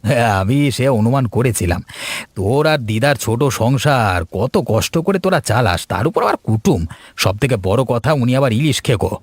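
A man's voice: mid-range voice, lively intonation, brisk, dry recording, close to an everyday voice. A man voicing a friendly, sympathetic village shopkeeper who chats and advises like an elder brother in a cartoon. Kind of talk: cartoon story dialogue.

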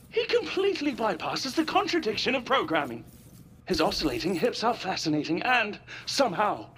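An earnest robot companion from a video game. It speaks in precise, formal phrases, curious and philosophical, with very animated pitch. There is faint noise behind it.

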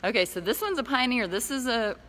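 A woman's voice: on the high side, animated, brisk, pushed and bright. A middle-aged woman giving a chatty, laughing walking tour of tiny homes she builds. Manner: chatty, laughs easily, guiding viewers around. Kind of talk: casual walking tour chat. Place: outdoor building lot and inside tiny houses.

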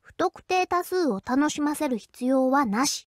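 High-voiced standoffish schoolgirl. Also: expressive, a little slow, dry recording, studio quality. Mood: curt, possessive. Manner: curt, coy, refers to herself by name. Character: possessive, standoffish teenage girl with hidden sweetness. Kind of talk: anime-style game voice lines. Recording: loud game music behind the voice.

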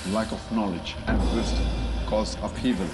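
A man's voice: on the low side, steady tone, normal speed. A man narrating a dramatic scripted promo voice-over with emphatic, rising declarations. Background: loud music.

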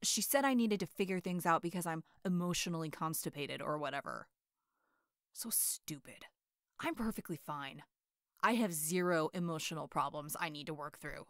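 A woman's voice: on the low side, fairly bright tone, no echo, clean studio sound. A woman voicing a brash, hot-tempered teenage boy hero in an audio roleplay; he swears constantly, boasts, and snaps at everyone.

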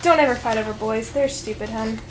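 A young woman with a high voice speaks casually as she leads a table read and reads lines. A faint noise sits behind her. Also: fairly quick. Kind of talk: casual chat and script reading. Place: small living room, indoors.

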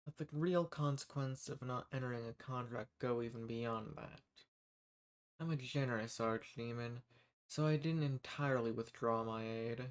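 A man voicing an arrogant, menacing archdemon in a dramatic audio roleplay, speaking in a condescending, theatrical, unhurried manner. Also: medium pitch, lightly acted. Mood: quietly threatening. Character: arrogant, menacing male archdemon who strikes bargains.

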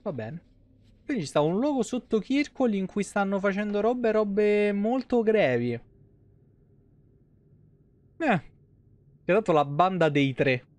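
Man streaming, slightly high voice. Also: animated, a little slow, long pauses, no room sound. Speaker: game streamer. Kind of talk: casual live gameplay commentary with slang and swearing. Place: indoor room at home, streaming on webcam.

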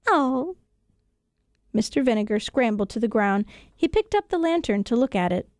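A woman with a fairly high voice tells a children's story. Her reading is expressive and scripted, made for acting out. The studio sound is dry and close. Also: fairly quick, no echo. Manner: expressive, acts out dialogue. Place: radio studio.

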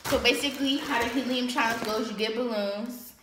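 Excitable young woman vlogging, echoey noisy room. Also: a little high, brisk. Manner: excitable, informal, slangy. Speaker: host of a home challenge vlog. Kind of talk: casual vlog chat to camera. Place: small room at home, indoors. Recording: roomy echo, noisy home laptop recording.

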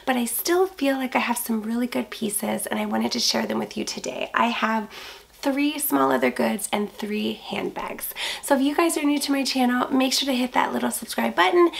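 A woman in her thirties with a scratchy, allergy-roughened voice, chatting enthusiastically as she reviews handbags in a small dressing room. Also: high-pitched. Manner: enthusiastic, gushing. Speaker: fashion vlogger.

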